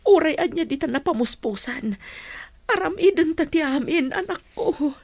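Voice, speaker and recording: Actress as high-voiced sorrowful, caring mother. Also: lively intonation, normal speed, darkish tone, no echo. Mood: resolute, sad. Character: caring, sorrowful mother of a gravely ill daughter. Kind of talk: melodramatic radio drama dialogue.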